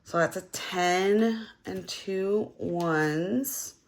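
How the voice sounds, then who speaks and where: Gravelly-voiced young woman counting cash. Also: on the low side, drawn-out words. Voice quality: gravelly early-morning voice. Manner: chatty, rambling, with many fillers and counting aloud. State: slightly hoarse from just waking. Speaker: budgeting vlogger. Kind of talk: casual chat while counting cash.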